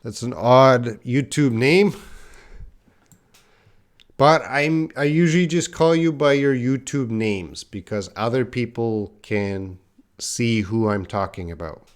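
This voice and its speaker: A middle-aged man speaks as an English teacher on a live stream. His pace is slow and his pitch sits in an ordinary male range. He talks plainly to learners, calling them by name and repeating words for emphasis. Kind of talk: live-stream teaching, answering student comments.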